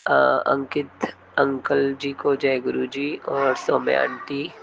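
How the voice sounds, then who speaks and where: A woman with an extremely low, slow voice offers devoted greetings and chants. Her voice has a gravelly fry and stays flat. The recording sounds dull, with clear background noise.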